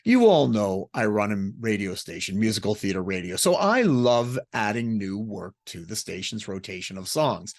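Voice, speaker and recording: A man's voice: lowish voice, very animated, moderate pace, few pauses. A man hosting a radio interview show, introducing and questioning his guest.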